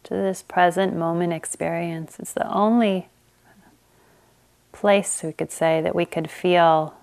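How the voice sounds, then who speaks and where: Slow, soft-spoken woman teacher guiding meditation, lowish voice with some fry, smooth tone. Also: fairly even intonation. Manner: slow, gentle guiding with long pauses. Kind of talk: guided meditation.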